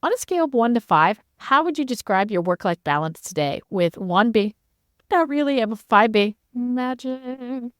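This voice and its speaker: A woman's voice: on the high side, animated, moderate pace, dry recording, studio quality. A woman hosting a podcast, asking questions in a conversational interview style.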